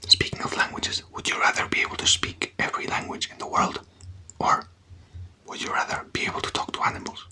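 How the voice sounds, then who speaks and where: A person doing casual, playful ASMR in a breathy whisper, chatting and putting questions to the listener. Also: fairly quick, bright, forceful tone. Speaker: ASMR content creator.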